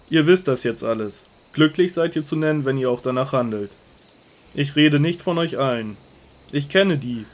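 An actor playing a calm, authoritative male teacher in a radio drama, speaking solemnly and earnestly. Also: fairly high pitch, close to an everyday voice.

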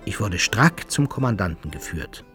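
A man's voice: lowish voice, very animated, moderate pace, over music. A man playing a composed old-time soldier who recounts his own adventures in formal literary radio-drama narration. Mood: matter-of-fact.

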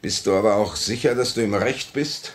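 An actor playing a bitter, hardened revolutionary ex-convict in 1950s German radio drama, with a fierce, declamatory intensity. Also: male voice, on the low side, normal speed, lightly acted. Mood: bitter defiance.

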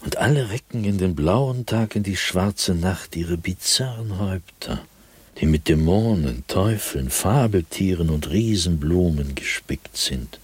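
A man playing an anguished, fearful, solitary diarist in a radio drama, speaking in a husky, brooding voice with sudden swells and hushed drops. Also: deep voice, expressive, unhurried, no echo, full character voice. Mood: awed. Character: anguished, fearful, solitary male diarist haunted by nightly dread. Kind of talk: German radio drama monologue, diary read dramatically.

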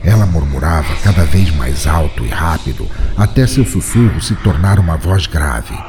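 A man narrating a first-person horror story in a measured, grave way. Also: extremely low pitch, lively intonation, music in the background.

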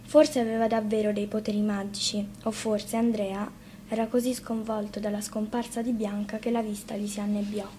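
A woman's voice: medium pitch, little pitch movement, moderate pace, mellow, a little hiss or hum. A woman narrating a scripted fairy tale as a storyteller.

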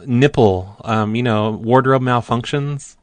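A man's voice: medium pitch, slow, no echo, lightly acted. A man playing a pushy, rude photo lab clerk on a prank phone call, stammering and bluntly repeating himself. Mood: matter-of-fact accusing. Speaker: prank caller.

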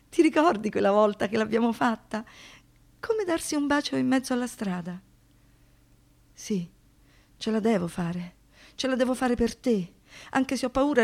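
A woman's voice: a little high, close to an everyday voice. Shaken but defiant young woman survivor, acted by a woman. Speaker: monologue performer and narrator. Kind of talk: dramatic radio theatre monologue.

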